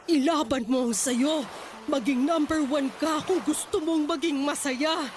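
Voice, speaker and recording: A woman's voice: high voice, measured pace, a little hiss or hum. An actress playing a bitter, hurt middle-aged mother who scolds her daughter with emotional, reproachful outbursts. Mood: resolute. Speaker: voice actor in a radio-style drama.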